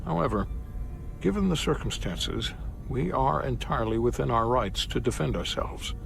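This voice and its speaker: A man's voice: medium pitch, animated, normal speed, creaky. A man playing a cautious, logical starship officer in a video game, with precise, restrained delivery. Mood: calm, cautious. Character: formal, cautious, logical male first-officer adviser. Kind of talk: video game dramatic acting. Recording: loud game music underneath.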